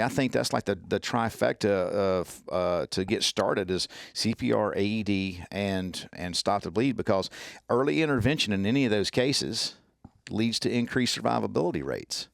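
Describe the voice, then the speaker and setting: A middle-aged man from Mississippi with a Southern accent, a podcast guest talking in a folksy, animated, colloquial way. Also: fairly low pitch, moderate pace, vocal fry, no room sound, studio quality. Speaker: podcast guest, trauma care instructor. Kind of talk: casual podcast conversation.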